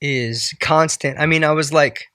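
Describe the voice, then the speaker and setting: Man, 20s, from the Bay Area, musician guest, laid-back rambling talk, bright voice, dry sound. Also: on the high side, measured pace, no room sound. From Bay Area, California. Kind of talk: casual interview chat. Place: indoors at a house.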